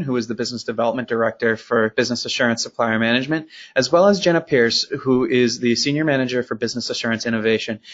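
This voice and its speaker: A man's voice: mid-range voice, a bit bright, highs cut off. A man hosting a company podcast, introducing and questioning guests in a conversational, partly scripted way. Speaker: podcast host.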